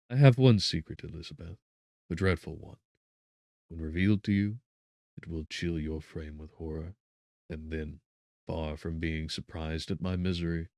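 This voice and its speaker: A man voicing a tormented, guilt-ridden young gentleman in an audiobook, earnest, devoted and gravely impassioned. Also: low voice, dry recording, studio quality.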